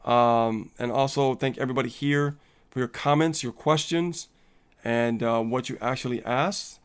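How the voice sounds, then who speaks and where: A man's voice: medium pitch, steady tone, moderate pace, clean tone. A man co-hosting a casual live coaching stream, upbeat and motivational, laughing often and reading viewer comments aloud.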